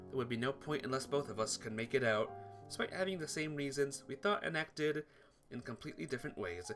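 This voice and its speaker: Guy reading visual novel story out loud for a playthrough, bright voice, bit of music under it. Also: mid-range voice. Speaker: playthrough narrator.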